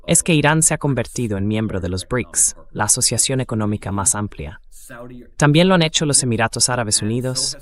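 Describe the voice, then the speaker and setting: A man giving emphatic, articulate political commentary as the dubbed voice of a guest. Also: medium pitch.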